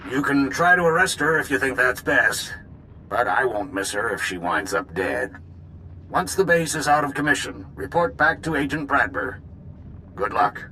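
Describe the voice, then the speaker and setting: An actor playing a brisk, commanding male military leader in a video game. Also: medium pitch, lively intonation, sharp tone, a little hiss or hum, rough recording. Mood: authoritative.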